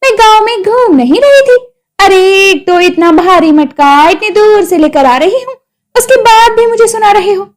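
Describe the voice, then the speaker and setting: A woman's voice: extremely high pitch, expressive, moderate pace. A woman voicing a feisty young village wife in a cartoon story, whiny and argumentative. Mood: aggrieved. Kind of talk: Hindi cartoon story acting.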